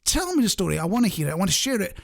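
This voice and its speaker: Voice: medium pitch, very animated, racing, vocal fry. A radio host chatting casually to listeners, wry and jokey, wandering off into asides.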